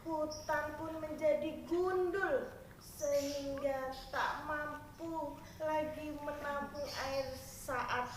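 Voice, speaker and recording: Woman acting slow, high-voiced pleading old granny. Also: steady tone. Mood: lamenting. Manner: slow, halting, imploring delivery. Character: pleading old grandmother living in a forest. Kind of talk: dramatized fairy-tale reading. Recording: roomy, echoing, distant, noisy recording.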